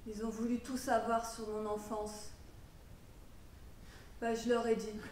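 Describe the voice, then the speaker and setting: A woman acting a theatrical monologue as a troubled young mother, wounded and defiant, swinging between muttered confiding and shouted outrage. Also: on the high side, little pitch movement, a little slow, far from the mic, natural voice. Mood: wounded, brooding. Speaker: drama student performing a monologue. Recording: roomy echo with background noise.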